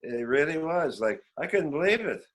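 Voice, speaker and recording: A Canadian man in his seventies telling rambling, animated stories in a casual, reminiscing way as an interview guest. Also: on the high side, normal speed. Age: 70s. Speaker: veteran musician, interview guest. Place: remote online call.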